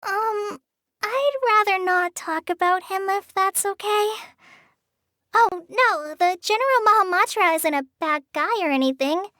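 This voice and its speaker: A woman's voice: very high-pitched, no echo, clean studio sound, full character voice. A woman voicing a shy, earnest teenage girl in a video game, hesitant and apologetic in the way she talks. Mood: uneasy. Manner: hesitant, apologetic, soft-hearted, halting with stammers. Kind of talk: video game character voice lines.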